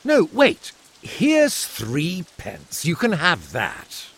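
A man voicing an elderly male colonel who speaks in a polite, gentlemanly way, in an audiobook reading. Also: high-pitched, wildly swooping pitch, extremely slow, breathy edge, voice pushed up, exaggerated voice. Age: older.

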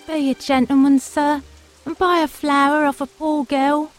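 A man voicing a poor young flower girl who begs and pleads in a shrill voice, in an audiobook reading. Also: little pitch movement, measured pace, faint music behind. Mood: pleading.

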